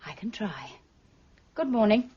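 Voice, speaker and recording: An actress with a British accent playing a bold, nosy, self-assured amateur sleuth in a radio drama, prim and knowing. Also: female voice, medium pitch, very animated, unhurried, slightly rough, full character voice. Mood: offended, defiant. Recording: slightly muffled radio drama.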